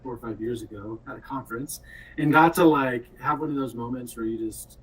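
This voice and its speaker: A man chatting casually as a game developer in an interview, in an animated, halting and jokey way. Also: medium pitch, slightly rough. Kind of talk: casual chat. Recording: distant mic, faint room noise.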